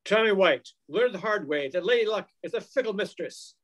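A man's voice: high-pitched, animated, brisk, a bit bright. A man in his sixties reading scripted narration for an old-time radio crime drama, recorded at home on a video call. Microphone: computer mic on a video call.

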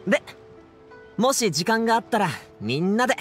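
Boyish anime teen son, rough casual talk, shy but sincere, very expressive, soft music behind. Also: male voice, high voice, moderate pace. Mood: grateful, upbeat. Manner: casual boyish speech, stammers when flustered. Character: earnest, bashful teenage son, boyish and rough-spoken. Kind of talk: anime video game voice acting.

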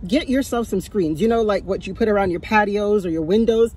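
A woman's voice: mid-range voice, fairly quick, darkish tone, husky. Chatty woman vlogging from her car. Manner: chatty, chuckling, repeats for emphasis. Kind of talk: casual advice vlog. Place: parked car, sunny day. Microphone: phone at arm's length.

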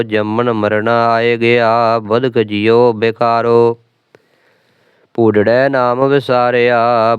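A man reciting scripture in steady, measured phrases. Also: mid-range voice, clean tone, no echo. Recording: dry, tinny phone-like sound.

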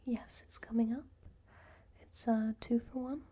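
A woman doing an ASMR roleplay as a cashier, talking softly and mostly in whispers, with friendly counter small talk, recorded at a table indoors. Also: on the high side, steady tone, measured pace, breathy edge. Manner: soft-spoken, mostly whispering. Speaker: ASMR creator playing a cashier. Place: indoor room at a wooden table.

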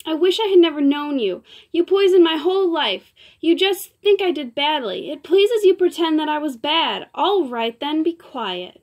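A woman doing a fiery young actress, higher. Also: lively intonation. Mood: tearful, angry. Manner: tearful, pleading, quick to outbursts. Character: temperamental young female stage actress.

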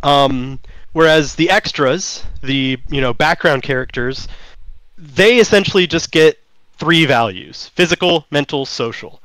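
A man's voice: on the high side, measured pace, fairly bright tone, no echo, low-quality recording. A man whose first language is German, explaining his tabletop game in an interview, with many fillers and restarts. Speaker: game designer guest. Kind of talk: interview answers.

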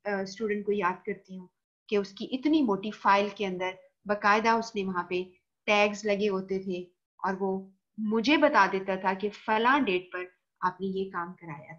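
A woman's voice: mid-range voice, a bit bright, muffled recording. A woman lecturer with a Pakistani Urdu accent, speaking emphatically to her students and mixing in English phrases. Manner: emphatic, mixes in English, addresses students directly. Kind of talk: recorded lecture. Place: voice-over for screen recording.

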